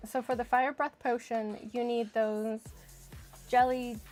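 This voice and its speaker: Woman game streamer, slightly high-pitched, rambling casual chat over faint music. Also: flat delivery, slow pace, darkish tone. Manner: chatty, thinks aloud, rambling with many "like"s. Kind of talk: casual live-stream chat while playing.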